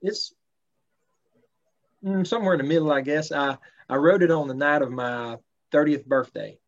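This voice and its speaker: A man, a country singer talking casually in an interview in a relaxed, polite way with many fillers. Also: on the high side, moderate pace. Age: 30s. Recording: slightly dull lo-fi sound.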